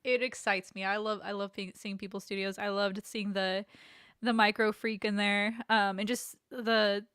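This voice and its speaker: A woman's voice: medium pitch, flat delivery, clear, resonant tone, dry recording, clean studio sound. Encouraging woman co-hosting a stream. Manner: warm, encouraging, quick to laugh. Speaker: co-host. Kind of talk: casual livestream chat.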